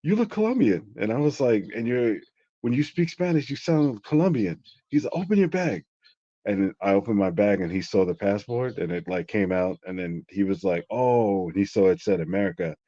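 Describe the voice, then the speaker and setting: A man's voice: on the low side, expressive, normal speed, warm tone, no echo. A middle-aged man telling a story in a casual, rambling way, full of "like". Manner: rambling, lots of "like". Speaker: interview guest. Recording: dull lo-fi sound.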